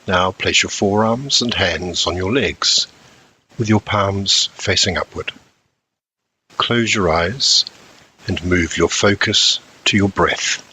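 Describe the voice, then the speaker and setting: A man narrating a scripted guided meditation as an instructor, in measured short phrases with frequent pauses. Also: low voice, pushed and bright, husky, poor audio.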